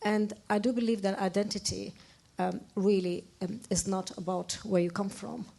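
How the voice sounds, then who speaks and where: A woman's voice: mid-range voice, fairly even intonation, moderate pace, gravelly, warm tone. A woman from Lebanon, giving an opinionated, self-correcting comment at a public discussion in phrasing. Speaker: audience member, architect. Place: arts centre event room.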